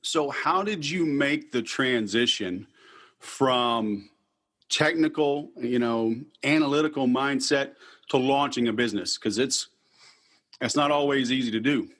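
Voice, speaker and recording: Man, Texas accent, podcast host, casual, direct, sales pitch tone. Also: unhurried, a bit bright. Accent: Texan. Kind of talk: podcast interview and self-promotion.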